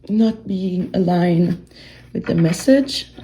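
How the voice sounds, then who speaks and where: A young woman with a French accent, a native French speaker, giving a spoken tarot reading in a soft, hesitant way, often dropping to a whisper and searching for words. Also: on the low side, slow, husky, poor audio. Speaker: tarot reader.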